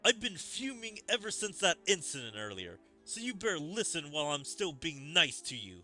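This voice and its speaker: A man's voice: fairly high pitch, big pitch swings, normal speed, bright, forceful tone, soft background music, exaggerated voice. A man voicing a belligerent drunken ruffian from a fantasy game, reading the lines aloud. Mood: threatening.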